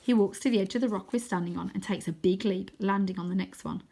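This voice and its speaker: A woman reading a children's adventure story aloud as expressive first-person narration. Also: medium pitch, fast talker, gravelly. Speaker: storybook reader.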